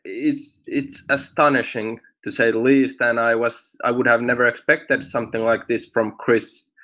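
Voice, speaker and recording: Man from Finland, hesitant, monotone delivery. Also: medium pitch, normal speed. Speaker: community member. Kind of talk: casual online discussion.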